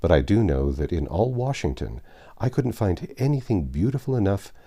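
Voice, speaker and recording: A man voicing a proud, tender middle-aged father in a storybook reading, speaking in a measured, fond, fatherly way. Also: sits low, sing-song, warm tone, full character voice. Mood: tender, approving.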